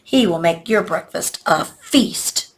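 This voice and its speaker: A woman voicing a middle-sized male billy goat in a children's storybook, careful and reasoning, nervous but trying to stay calm. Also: on the low side, takes it slowly, slight background noise. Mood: nervous, persuading. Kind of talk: children's storybook read-aloud.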